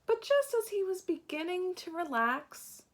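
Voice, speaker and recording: Expressive woman reading a children's story. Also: very high voice, slight background noise. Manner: deliberate dramatic pauses, acts out characters. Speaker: storybook reader, teacher. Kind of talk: expressive children's picture book read-aloud.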